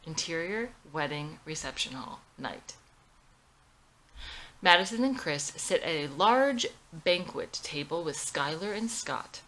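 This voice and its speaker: A woman's voice: low voice, lively intonation, takes it slowly, long pauses, a bit bright, rough recording. A woman narrating the stage directions of a screenplay as its writer reading it out.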